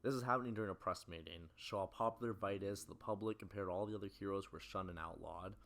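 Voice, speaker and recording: Video essayist: young male, deep-pitched, brisk scripted narration. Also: fairly bright tone. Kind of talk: scripted film-analysis narration.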